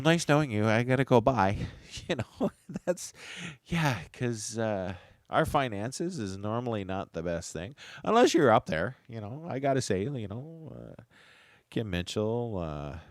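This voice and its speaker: A man's voice: fairly low pitch, no room sound, clean studio sound. A man hosting a casual solo livestream chat, sounding stuffed-up and a little nasal, rambling and chuckling as he loses his train of thought. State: stuffed-up nose. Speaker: livestream host.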